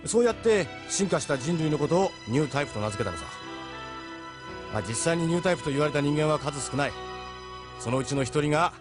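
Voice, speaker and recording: A man's voice: fairly high pitch, animated, fast, music in the background, natural voice. A man voicing a seasoned, middle-aged ship captain in an audio drama, persuasive, frank and worldly. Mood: musing, explanatory. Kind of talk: Japanese audio drama acting.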